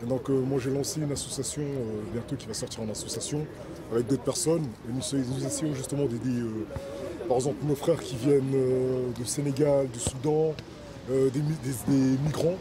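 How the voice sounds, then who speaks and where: A man's voice: mid-range voice, steady tone, normal speed. A man from Congo answering interview questions casually and colloquially, outdoors in a city park. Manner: colloquial, informal. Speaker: sports trainer and community activist. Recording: outdoor, very noisy urban crowd.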